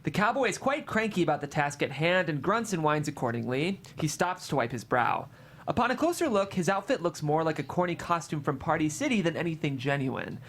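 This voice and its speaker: Lively, expressive screenplay narrator. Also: medium pitch, a little fast. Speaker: host and stage-direction narrator. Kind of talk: scripted narration of a screenplay table read. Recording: faint background room noise.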